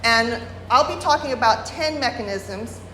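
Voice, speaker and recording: A woman's voice: a little high, normal speed, pushed and bright, slight background noise. Woman lecturing in an echoey hall. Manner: explanatory, many fillers and restarts. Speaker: workshop presenter. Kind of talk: workshop lecture. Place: workshop room at a retreat. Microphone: no microphone, room recording. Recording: roomy hall, unamplified voice.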